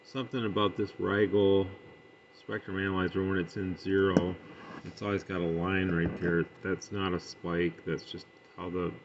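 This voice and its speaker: A man giving a casual, off-the-cuff equipment demonstration, matter-of-fact and thinking aloud as he works. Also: low-pitched. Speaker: radio hobbyist demonstrating and testing equipment. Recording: dull lo-fi sound with faint noise.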